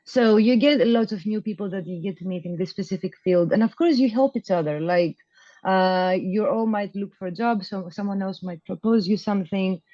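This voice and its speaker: Young woman chatting on a video call, mellow voice, bit dull and dry. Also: mid-range voice, normal speed, no room sound. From Greece. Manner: loose and hesitant, many fillers and restarts. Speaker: program graduate guest. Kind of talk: casual interview answers.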